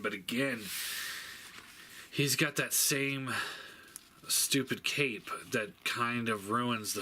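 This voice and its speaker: A man giving a casual, unscripted product review, thinking aloud in a halting way and stating blunt opinions. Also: lowish voice, pushed and bright. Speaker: toy reviewer. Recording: faint background noise.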